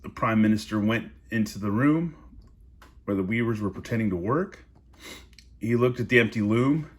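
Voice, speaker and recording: A man's voice: on the low side, moderate pace. A man reading a story aloud in a casual, amused way, breaking off into informal asides.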